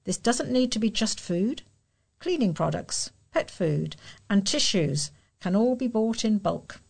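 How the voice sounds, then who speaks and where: A woman's voice: mid-range voice, expressive, unhurried, gravelly, breathy edge. A woman with a British accent reading a scripted article aloud as a volunteer news reader.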